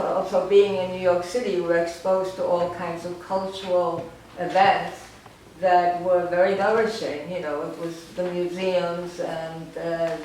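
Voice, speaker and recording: A woman's voice: lowish voice, a little mellow. Older woman rambling in an echoey gallery. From Romania. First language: German. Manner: rambling, halting, with many fillers and wry self-deprecating laughs. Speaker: artist giving a gallery talk. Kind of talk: informal autobiographical talk. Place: art gallery room. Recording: echoey gallery, distant, noisy ambience.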